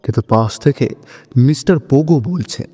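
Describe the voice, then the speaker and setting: A man narrating a dramatized audio story in an expressive storytelling manner. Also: medium pitch, normal speed, breathy edge, big-room sound.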